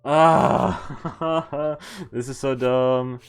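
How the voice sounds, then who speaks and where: A man's voice: mid-range voice, fairly even intonation, very slow, clear, resonant tone. A man streaming a game, reading visual novel text aloud and commenting in a casual, sweary way. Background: faint game music.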